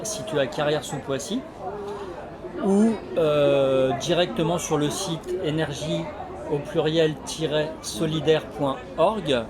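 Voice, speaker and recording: A man explaining his association's work in an interview, halting often as he searches for words. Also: a little high. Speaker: energy renovation association representative. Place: community associations forum in a sports hall. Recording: loud crowd hubbub at a fair. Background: loud crowd.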